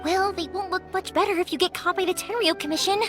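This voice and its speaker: A woman voicing a cheerful, energetic young fireworks-maker in a video game, bubbly and quick to change topics. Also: extremely high pitch. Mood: upbeat. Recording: game soundtrack music behind.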